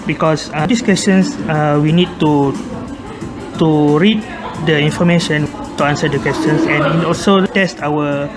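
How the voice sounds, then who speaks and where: A man's voice: on the high side, low-quality recording. Young Malaysian man over music. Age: young adult. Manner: halting, repeats words while searching. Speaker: university student. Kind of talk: recorded interview answers.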